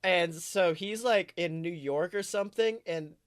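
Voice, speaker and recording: Male podcast co-host, high voice, dry recording, rambling playful improvised storytelling. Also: measured pace, dry recording. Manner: rambling, self-correcting, playfully dramatic. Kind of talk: improvised comic storytelling, casual chat.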